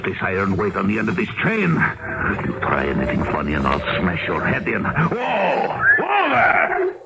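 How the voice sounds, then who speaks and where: In a very noisy old radio drama, a brutish sleigh driver. He threatens in a creaky, gravelly voice that lurches up and down. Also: fairly low pitch, wildly swooping pitch, measured pace, exaggerated voice. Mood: menacing. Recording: noisy lo-fi 1970s radio broadcast.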